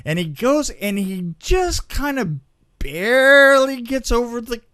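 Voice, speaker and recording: A man in casual chat, telling anecdotes in a rambling, animated way. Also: very high voice, slow pace, no echo, clean studio sound. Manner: rambling storyteller, acts out sound effects.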